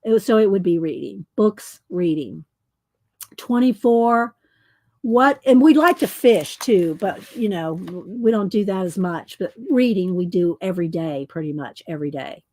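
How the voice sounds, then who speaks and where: A woman's voice: fairly low pitch, expressive, moderate pace, no echo. A middle-aged woman, chatting while making art as host of her crafting channel, rambling, interrupting herself, and laughing often. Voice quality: loud voice. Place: home art studio.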